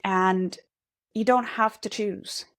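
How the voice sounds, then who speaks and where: A woman's voice: mid-range voice, steady tone. A woman in her thirties from Central America, a native Spanish speaker, talking easily as a guest about her engineering career. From Guatemala and El Salvador. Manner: easygoing, quick, self-deprecating. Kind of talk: conversational podcast interview.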